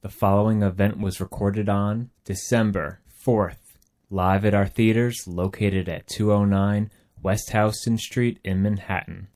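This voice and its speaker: A man reading a slow, measured podcast introduction. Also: on the low side, steady tone, a little mellow. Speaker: podcast announcer. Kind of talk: scripted intro announcement.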